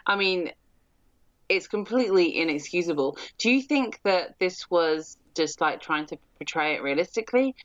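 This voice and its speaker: A woman's voice: on the low side, animated, normal speed, no room sound. A woman chatting casually about a film as a co-host, explaining her points in a considered way, on a slightly dull microphone. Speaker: film discussion podcast host. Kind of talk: casual chat, film discussion.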